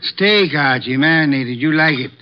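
An actor playing an imperious older male genius detective, speaking in a pompous, deliberate manner with clipped commands, in 1950s radio drama style. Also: on the high side, soft background music, natural voice. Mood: dryly amused. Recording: dull lo-fi 1950 radio transcription.

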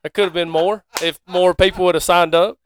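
A man's voice: high voice, fairly even intonation, a little fast, a crowd in the background. A man with a Southern US accent telling stories in casual podcast chat, in a folksy, joking way.

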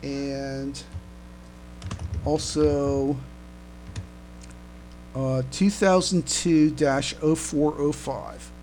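Halting man podcasting over background noise. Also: medium pitch, fairly even intonation. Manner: halting, repeats words. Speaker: podcast co-host. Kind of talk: casual fan podcast chat.

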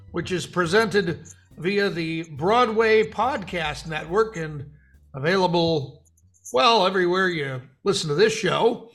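Bright, high-pitched male podcast host. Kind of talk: hosting a humorous news and interview show.

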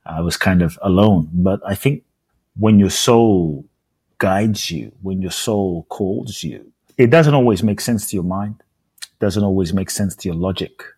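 Very deep, husky man. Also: unhurried, a little mellow, dry recording. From born in Ghana, raised in London. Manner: earnest, emphatic, repeats phrases for rhetorical effect. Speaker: podcast guest, speaker and author. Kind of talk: reflective personal storytelling interview.

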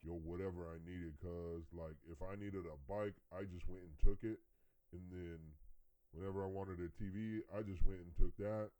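A man's voice: low voice, fairly even intonation, moderate pace, warm tone, lightly acted, poor audio. A man playing a dim-witted, lovesick male prisoner in his forties, with slangy, rambling speech that stumbles over its words. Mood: deadpan. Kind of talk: comedy skit acting.

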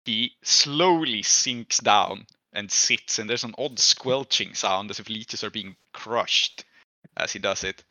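Man game master, very bright voice. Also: on the low side, takes it slowly, no echo, poor audio. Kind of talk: narrating a tabletop game.

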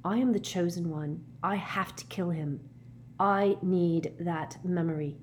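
A woman voicing a quiet, determined teenage boy wizard in a storybook, steady and insistent. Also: lowish voice, unhurried, slight background noise, lightly acted. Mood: calm, determined.

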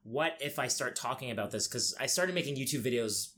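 A young man from Egypt speaking candidly as a podcast guest, in a reflective, halting way with pauses. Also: medium pitch, bright, forceful tone. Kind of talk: candid personal interview. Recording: clear background noise.

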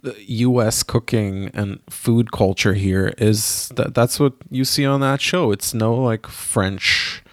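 A man who grew up in Israel, chatting casually on a podcast, pausing often with fillers and restarts. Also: mid-range voice, fairly even intonation, no echo, studio quality. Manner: hesitant, many fillers and restarts. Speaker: podcast co-host. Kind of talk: casual chat.

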